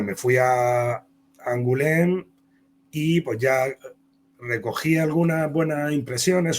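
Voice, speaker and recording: A man from Spain with a Castilian Spanish accent, telling career anecdotes as an interview guest in a rambling, conversational way. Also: mid-range voice. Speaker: comic book author and interview guest.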